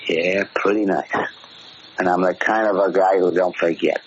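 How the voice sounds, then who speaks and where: An actor playing a paranoid, bullying gangster in a gruff, slangy, commanding way, in 1940s radio drama style. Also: male voice, deep voice, steady tone, moderate pace, natural voice. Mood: weary. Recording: noisy, muffled old-time radio recording.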